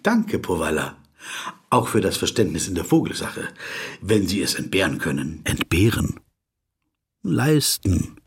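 Brooding, pedantic low-voiced male lawyer. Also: very animated, measured pace, pauses often, husky, heavily acted. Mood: fussy, digressive. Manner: fussy, digressive, dryly ironic. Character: pedantic, brooding, awkward corporate lawyer who dwells on words. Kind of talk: German radio drama, dialogue and inner monologue.

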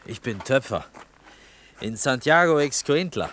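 An actor in a radio play voicing an earnest, stubborn village potter with a plain, hesitant yet insistent way of talking. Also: male voice, mid-range voice, animated, a little slow, fairly bright tone, a little hiss or hum. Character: earnest, stubborn young village potter searching for his cousin.

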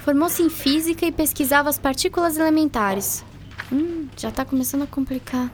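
Curious, excitable schoolgirl played by a woman for radio play: very high voice, expressive, thinking aloud. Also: normal speed, a little hiss or hum, natural voice. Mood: curious, musing. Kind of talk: radio drama acting.

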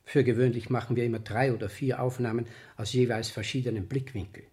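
A man plays the boss of a photo studio in a 1970s radio play. His medium-pitched voice is deferential and explanatory, with a flustered, brusque edge. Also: steady tone, fast talker, darkish tone, lightly acted. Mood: brisk, defensive.

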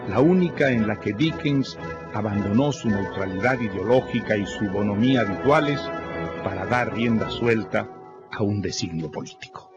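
A man giving a scripted literary introduction as a radio presenter, formal, measured and erudite. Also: fairly low pitch. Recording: dull radio sound with faint music.

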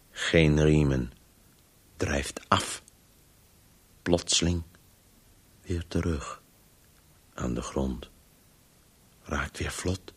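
An actor playing a weary, urgent disembodied voice in a radio play, speaking in breathless, halting fragments with a hushed, husky tone. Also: male voice, extremely low pitch, heavily acted. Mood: urgent, murmuring. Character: disembodied male Voice, obsessively chasing a story, weary and urgent.

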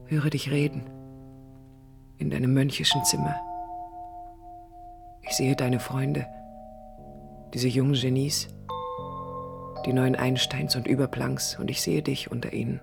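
Wistful young woman, slightly creaky voice. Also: a bass voice, flat delivery, a little slow, pauses often, over music, exaggerated voice. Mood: tender, reflective. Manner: introspective, wistful, lyrical. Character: lonely, pensive young woman architect addressing her absent brother. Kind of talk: intimate radio drama monologue.

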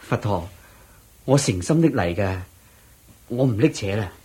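A humble, troubled layman in a radio play. His voice is low and very husky, with wide swings in pitch. He speaks deferentially and hesitantly, like a devoted but wistful man. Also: animated, measured pace, warm tone, heavily acted. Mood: humble, earnest. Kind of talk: Cantonese radio drama acting.

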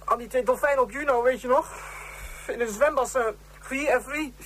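A woman's voice: high-pitched, a little fast, slight background noise, lightly acted. An actor voicing a curious, chatty, slightly timid young boy in a science fiction radio play, childlike and full of questions.

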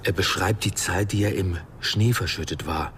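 Low-voiced, thoughtful investigator. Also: male voice, moderate pace, fairly bright tone, slight background noise. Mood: pensive.

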